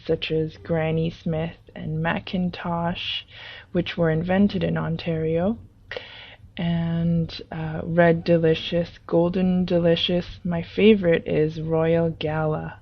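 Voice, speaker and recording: Woman, slow and easygoing, lowish voice, muffled audio. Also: no echo. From Toronto, Canada. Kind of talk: unscripted answers for a learner video.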